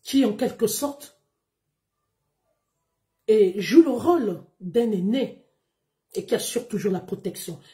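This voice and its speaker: Slow, emphatic woman. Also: mid-range voice, animated, warm tone. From Gabon. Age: 30s. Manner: emphatic, declamatory, deliberate. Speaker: live streamer. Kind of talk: livestream monologue to followers.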